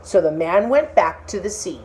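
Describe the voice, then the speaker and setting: A woman reading a storybook aloud in an expressive style of narration for children. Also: fairly low pitch, normal speed, poor audio. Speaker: storybook reader.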